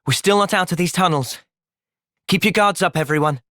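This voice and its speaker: A man's voice: high-pitched, fairly quick, a bit bright, no room sound, studio quality. A young man with a British accent playing an earnest, thoughtful, polite and determined young hero in video game cutscenes. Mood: upbeat, resolute.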